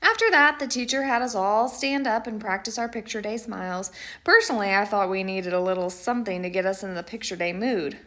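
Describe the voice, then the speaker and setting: A woman voicing a mischievous, dramatic schoolboy who tells his own picture book story with theatrical emphasis and pauses. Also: medium pitch, pushed and bright, natural voice. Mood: indignant.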